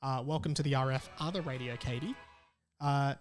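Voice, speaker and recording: A man's voice: mid-range voice, fairly quick, a little hiss or hum. A man hosting a solo podcast in a casual, jokey way, repeating phrases for emphasis. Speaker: podcast host.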